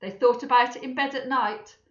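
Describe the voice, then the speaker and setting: A woman's voice: fairly high pitch, fairly even intonation, fairly quick, fairly bright tone. A woman reading a children's story aloud expressively, with chatty asides to young listeners. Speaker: storybook reader for schoolchildren. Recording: echoey room, slightly dull sound.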